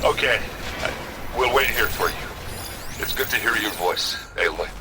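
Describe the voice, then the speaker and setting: A man's voice: fairly low pitch, expressive, unhurried. A man playing a blunt, hot-tempered and loyal warrior in a video game cutscene. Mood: relieved, anxious. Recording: dialogue over game score.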